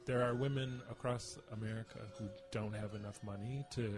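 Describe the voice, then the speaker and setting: A man's voice: mid-range voice, steady tone, a little fast, music in the background. A man in reflective personal conversation, searching for words and repeating them for emphasis. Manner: searching, emphatic phrasing with repetition.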